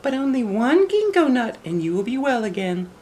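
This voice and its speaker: A man voicing a clever little girl in a storybook, in a sweetly pretending sing-song falsetto. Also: sing-song, moderate pace, a little mellow, a little hiss or hum. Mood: feigned weakness. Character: clever eldest little Chinese girl coaxing a wolf. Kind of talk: storybook read aloud.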